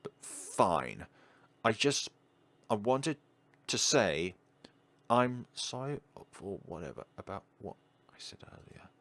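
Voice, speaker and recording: A man voicing a stiff, formal young housemate in a visual novel, contrite and halting. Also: fairly low pitch, very animated, a bit bright, no echo, full character voice. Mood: contrite, awkward.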